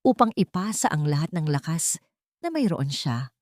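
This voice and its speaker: A woman reading a scripted dramatic audio story as narrator, in a measured, emotive, literary way. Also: sits low, very animated, a little mellow, no echo, studio quality.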